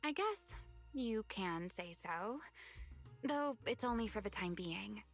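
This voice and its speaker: Gentle, hesitant young woman. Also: medium pitch, animated, takes it slowly, faint music behind. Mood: solemn. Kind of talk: video game voice acting.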